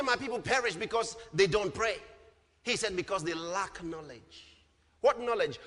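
A man's voice: high voice, sharp tone. A man preaching an impassioned sermon, emphatic and repetitive, punctuated with rhetorical questions. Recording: long hall reverb.

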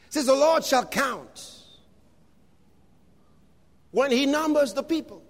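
A man preaching an impassioned sermon, shouting lines and stretching out words for emphasis. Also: extremely high pitch, lively intonation, takes it slowly, big-room sound.

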